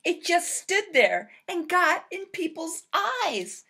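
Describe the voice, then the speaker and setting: Woman playing a big storybook spider, high voice, slow delivery, theatrical, indignant, eager, bumbling. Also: sing-song, creaky, bright, forceful tone, heavily acted. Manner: theatrical, exclamatory, comically aggrieved. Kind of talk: children's picture-book read-aloud.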